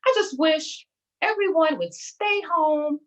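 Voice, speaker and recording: A woman's voice: sits high, expressive, slow pace, fairly bright tone, exaggerated voice. A woman playing a giddy, gushing, dramatic character in a lockdown stage monologue, speaking emphatically. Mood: gushing, exasperated.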